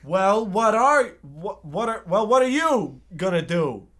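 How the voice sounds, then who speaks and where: An earnest, timid boy voiced by a man in a comic radio play. He has a high voice and picks through his stilted lines slowly and hesitantly. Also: big pitch swings, a bit bright, full character voice. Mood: uneasy.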